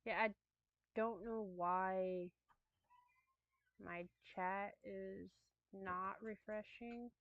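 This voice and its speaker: Woman just chatting on stream, slow talker, tinny lo-fi phone sound. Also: medium pitch, fairly even intonation, no room sound. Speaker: livestreamer. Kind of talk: casual livestream chat. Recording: thin phone-like lo-fi audio.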